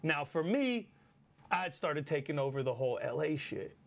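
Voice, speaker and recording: A man in his forties from Lebanon with a Lebanese accent, telling stories in a casual chat, animated and full of swearing and fillers. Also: on the high side, normal speed, vocal fry. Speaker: battle rapper guest.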